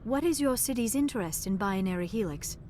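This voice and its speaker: A woman's voice: medium pitch, animated, fairly quick, darkish tone, a little hiss or hum, lightly acted. An actress playing a serious, wary alien woman in a game, speaking formally. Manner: cautioning, formal.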